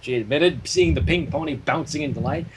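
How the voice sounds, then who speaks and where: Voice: medium pitch, a little fast. A livestream host reading a story aloud in narration, mixed with casual chat. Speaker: fanfiction reader, livestream host. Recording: faint background noise, livestream audio.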